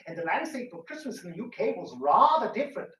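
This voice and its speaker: A man's voice: high-pitched, big pitch swings, fast talker, fairly bright tone. A man co-presenting a scripted talk with banter, like a theatre performer. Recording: roomy, distant mic.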